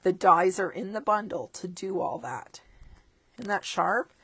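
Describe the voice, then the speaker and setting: A woman's voice: mid-range voice, lively intonation, measured pace, a bit bright, breathy edge, no echo. A woman demonstrating stamping supplies in a casual walkthrough of a catalog, chatty with chuckling asides, and losing her voice. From Canada. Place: tabletop craft desk indoors.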